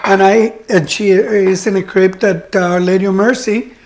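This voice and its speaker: A woman's voice: mid-range voice, steady tone, normal speed, a little mellow, slight background noise. An older woman from Cuba, a teacher, chatting and reminiscing with many restarts and fillers. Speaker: guest, retired teacher. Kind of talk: casual chat.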